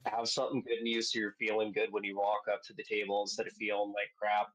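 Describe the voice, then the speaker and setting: A man chats as a podcast panelist in a somewhat low voice. His talk is casual and informal, with a loose, sweary edge. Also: monotone, quick pace, a bit bright, poor audio. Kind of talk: casual chat.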